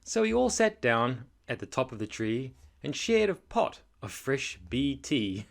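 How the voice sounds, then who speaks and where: Animated male storybook reader, unhurried. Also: mid-range voice, creaky. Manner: animated, dramatic delivery of rhymed story. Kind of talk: expressive children's read-aloud with casual asides.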